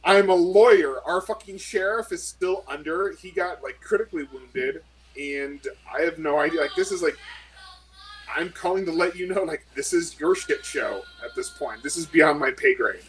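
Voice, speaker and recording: A man's voice: on the high side, normal speed, fairly bright tone, breathy edge, poor audio. A man voicing a rattled, foul-mouthed woman corporate lawyer who is exasperated and defensive. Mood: frantic, exasperated. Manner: swearing, flustered, exasperated.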